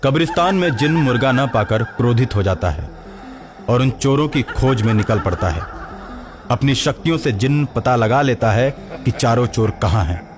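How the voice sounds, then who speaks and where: Guy narrating a cartoon tale from a script, average pitch, faint music under him. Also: animated, moderate pace. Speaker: storyteller, narrator. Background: background music.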